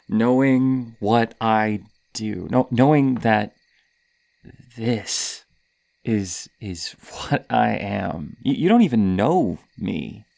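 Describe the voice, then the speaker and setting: A man's voice: lowish voice, expressive, darkish tone, dry recording, heavily acted. A man playing a lonely, insecure masked killer in an intimate horror ASMR roleplay, stammering and flustered. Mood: bitter, wary. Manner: stammering, halting, breathy sighs and nervous laughs.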